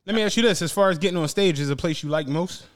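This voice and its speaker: A man's voice: high-pitched, racing. A man with a Philadelphia accent hosting a casual chat, slangy and swearing freely. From Philadelphia, USA. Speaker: podcast host. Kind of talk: casual round table chat.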